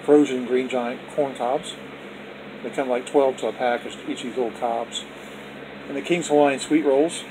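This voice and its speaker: Brief: folksy male home vlogger at the dinner table, phone-recorded, air-conditioner hum clearly audible behind him. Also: medium pitch, steady tone, moderate pace. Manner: folksy, repetitive, with religious blessings. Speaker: vlog host showing his dinner. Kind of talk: casual off-camera food vlog. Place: indoors at home, dining table.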